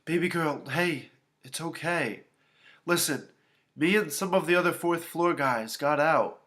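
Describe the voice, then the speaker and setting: Man as loving father, story character, worried, putting on bravery, tender, halting, medium pitch. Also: a bit bright, close to an everyday voice. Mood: soothing.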